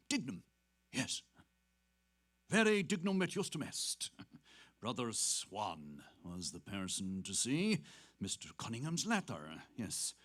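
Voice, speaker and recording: An actor playing a genial, courtly, chatty priest, musing and effusive in a dramatic stage reading. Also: male voice, sits low, fairly bright tone, husky, full character voice. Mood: musing, brisk.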